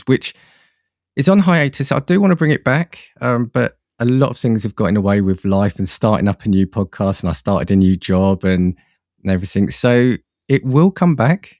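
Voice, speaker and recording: Lower-pitched man chatting as a podcast guest. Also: expressive, normal speed, darkish tone, dry recording, clean studio sound. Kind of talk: casual chat.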